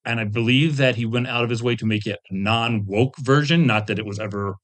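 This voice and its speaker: A middle-aged man with an average-pitched voice talks as a seasoned guest in a relaxed interview. He rambles through details from memory, qualifying them and adding asides. The delivery is conversational and unscripted. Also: a little fast. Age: middle-aged or older. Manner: discursive, recalling details with hedges and asides. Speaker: podcast guest, veteran game designer. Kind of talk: casual interview conversation about tabletop gaming.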